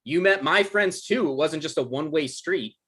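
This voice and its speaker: A man's voice: on the high side, lively intonation, moderate pace, fairly bright tone, breathy edge. Awkward, defensive guy, acted by a man who stammers. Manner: stammering, hedging. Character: evasive, awkward, defensive man meeting his exes. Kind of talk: conversational table read acting. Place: online live stream table read.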